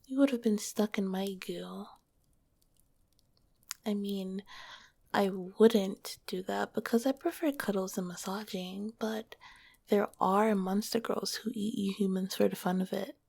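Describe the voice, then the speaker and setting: A woman voicing a gentle, teasing female slime monster girl in a whispered ASMR roleplay, speaking softly into a close microphone. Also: mid-range voice, a little slow, vocal fry, slightly rough, dry recording. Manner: soft whispering, teasing then tender. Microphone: close mic.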